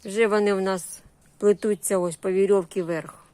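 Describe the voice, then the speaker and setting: A woman's voice: medium pitch, steady tone, moderate pace, mellow, clear, resonant tone, no echo. Homely Ukrainian woman, casual garden narration. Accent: Ukrainian with Russian-influenced surzhyk words. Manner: plain homely talk in short phrases with diminutives. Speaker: home gardener giving a garden tour. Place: walking through a vegetable garden and greenhouse.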